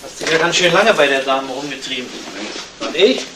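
A man playing a dry, sceptical senior detective who questions in a probing, measured way, in 1970s radio crime drama style. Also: fairly high pitch, lightly acted. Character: dry, sceptical male police detective in charge. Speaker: actor. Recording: noisy old 1970s radio play recording.

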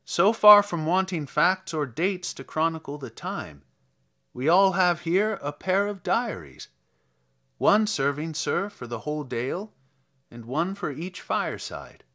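Guy doing an old village priest, reflective storyteller reciting verse, highish clear-cut voice, measured but really animated. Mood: matter-of-fact. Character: old country parish priest, plainspoken, reflective, fond storyteller. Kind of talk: dramatic verse reading.

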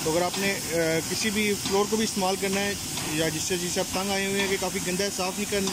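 Higher-pitched Pakistani man demonstrating a product. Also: little pitch movement, fast, heavy background noise. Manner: instructional, explanatory. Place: outdoors, on a street and a rooftop.

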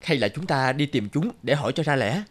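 Man playing a brave young archer, lively intonation. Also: mid-range voice, a little fast, lightly acted. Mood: earnest, reassuring. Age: young adult. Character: brave, earnest young male archer hero. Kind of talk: cartoon fairy-tale acting.

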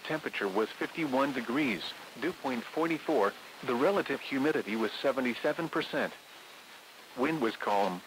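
Synthetic American male radio announcer, noisy reception. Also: mid-range voice. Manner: measured, impersonal, formulaic delivery. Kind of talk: automated scripted weather forecast reading.

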